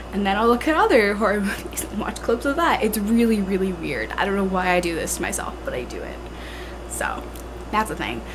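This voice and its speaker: A woman's voice: medium pitch, background noise. Congested young woman rambling to a handheld camera. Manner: chatty, filler-heavy, self-deprecating. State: congested and tired. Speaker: vlogger. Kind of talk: casual rambling vlog. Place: at home indoors. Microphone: handheld camera at arm's length.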